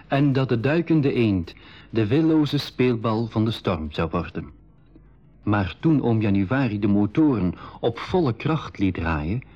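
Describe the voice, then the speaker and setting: A man narrating an adventure to young listeners in measured, scripted school radio style. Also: lowish voice, darkish tone, breathy edge. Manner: measured storytelling. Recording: dull old radio recording.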